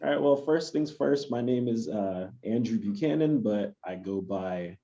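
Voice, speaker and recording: A young man in his twenties talks slowly in a playful, laid-back way. His voice is very dark and mellow, and it comes through dull and lo-fi over a video call. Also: fairly low pitch, animated. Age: 20s. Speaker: panelist.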